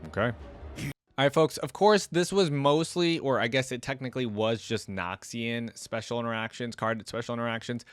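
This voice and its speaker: A man's voice: medium pitch, moderate pace, clear, resonant tone, no room sound, faint music behind. A man casually commentating as the host of a reaction video, chatting informally like a fan with frequent asides.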